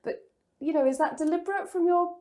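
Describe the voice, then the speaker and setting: A woman interviewing an author, encouraging and quick with affirmations. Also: very high-pitched, dark tone. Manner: encouraging, frequent backchannel affirmations. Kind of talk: interview conversation.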